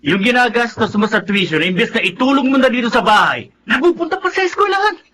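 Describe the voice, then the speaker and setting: An actor with a Filipino accent playing a cocky, jeering older brother and carefree gambler in a radio drama. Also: male voice, very high-pitched, big pitch swings, fairly quick, sharp tone, exaggerated voice. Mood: mocking. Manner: brash, jeering.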